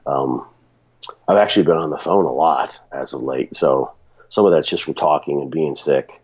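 A man with a cold and a raspy voice, talking casually on a podcast with many restarts and fillers. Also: extremely low pitch, normal speed, a little mellow, highs cut off. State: sick with a runny nose.

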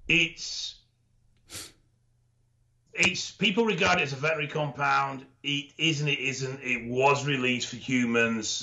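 A man co-hosting a podcast, explaining things in a knowledgeable, measured way with dry humour and frequent ums. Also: medium pitch, sharp tone. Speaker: expert co-host. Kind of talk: casual podcast chat, explaining. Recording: dull lo-fi audio.

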